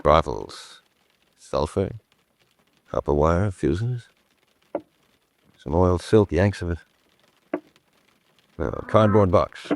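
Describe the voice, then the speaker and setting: Resourceful adventurer, low voice, very animated pitch, wry muttering, radio drama. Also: male voice, slow, long pauses, no echo, full character voice. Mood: wry, musing. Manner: muttering to himself, wry, clipped British turns of phrase. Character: resourceful trapped man muttering to his animal companion. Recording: faint background noise.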